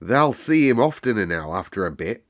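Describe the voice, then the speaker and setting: A man's voice: on the low side, sing-song, moderate pace. Man putting on a wondering Yorkshire country boy. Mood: cheerful, chatty. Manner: broad dialect, earnest. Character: gentle twelve-year-old Yorkshire country boy, full of wonder.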